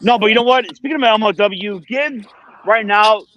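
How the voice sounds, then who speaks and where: A man's voice: high-pitched, moderate pace, fairly bright tone, dull audio. A man in his forties hosting a casual live stream, speaking earnestly and effusively and often restating himself. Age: 40s. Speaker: stream host.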